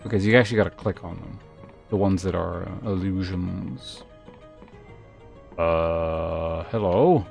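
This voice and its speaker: A man's voice: low-pitched, unhurried, vocal fry, long pauses, a little mellow, faint music behind. A man streaming a game, informally commenting on play and reading its text aloud, with muttered asides.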